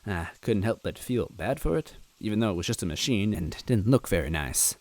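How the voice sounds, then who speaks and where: Low-voiced man reading a story aloud. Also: fairly quick, no room sound. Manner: expressive, adds asides to the listener. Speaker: narrator, story reader. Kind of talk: reading fan fiction aloud.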